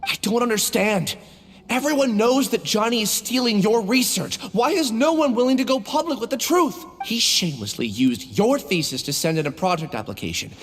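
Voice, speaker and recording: A man playing an earnest, indignant young student in a video game, with a husky voice and emphatic, pleading delivery. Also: high-pitched, animated, normal speed, a little hiss or hum. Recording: long reverb with music behind.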